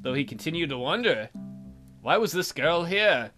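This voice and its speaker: A man's voice: fairly high pitch, big pitch swings, normal speed, bright, forceful tone, faint music behind. A man hosting a let's play, reading a visual novel aloud as dramatic narration with joking asides.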